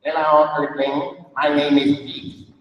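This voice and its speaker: A man's voice: a little high, flat delivery, slow pace, muffled recording, pitched below the performer's own voice. A man voicing a pompous green male alien who talks in a stiff, formal, demanding way, recorded in an echoey hall from a distance. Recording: echoey hall, heard from far off.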